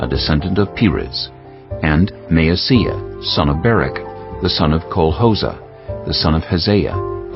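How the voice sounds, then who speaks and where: A man giving a measured, solemn scripted reading of scripture as an audiobook narrator. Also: low voice, vocal fry. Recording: dull lo-fi audio with music behind. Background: prominent music bed.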